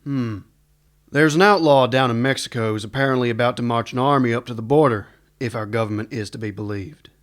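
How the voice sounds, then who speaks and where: A man's voice: medium pitch, brisk, close to an everyday voice. A man playing a laconic, wry, no-nonsense older US marshal in a western radio serial. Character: dry, weary, no-nonsense older Wild West marshal.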